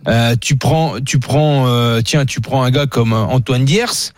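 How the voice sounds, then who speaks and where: A man's voice: medium pitch, a little slow, no echo, clean studio sound. A man from Burgundy giving his opinion on a talk radio panel, blunt and colloquial, thinking aloud with many fillers.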